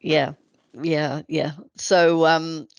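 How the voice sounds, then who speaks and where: Woman, low gravelly voice, webinar presenter, practical explanations, video call. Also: flat delivery, drawn-out words, no echo, muffled recording. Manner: explanatory, practical, with frequent "you know" and "um". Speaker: presenter, addiction medicine specialist.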